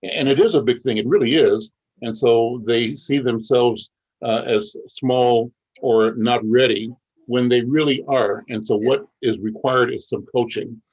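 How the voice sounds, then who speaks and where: An older man hosting an interview, speaking in a measured, reflective way with frequent pauses. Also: mid-range voice, fairly even intonation, darkish tone, slightly rough, muffled recording. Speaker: interviewer, show host.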